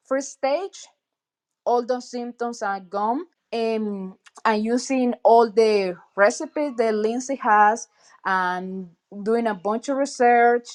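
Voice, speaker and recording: A woman speaking English with a Colombian accent, a native Spanish speaker, talking earnestly and gratefully over an online audio call. Her pitch sits in the middle, and she often stops to restart a phrase. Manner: earnest, grateful, talks in restarts with many fillers. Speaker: client sharing a testimonial. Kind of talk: casual spoken testimonial.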